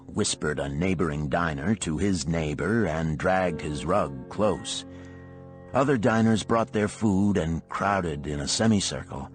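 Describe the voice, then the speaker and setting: A man reading a parable aloud as scripted audiobook narration. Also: low-pitched.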